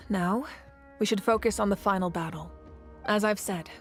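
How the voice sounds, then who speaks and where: A woman's voice: mid-range voice, measured pace, faint music behind, lightly acted. Woman playing a composed, confident leader. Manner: composed, assured. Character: confident, calm young female leader, warm and wry. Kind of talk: anime fantasy game acting.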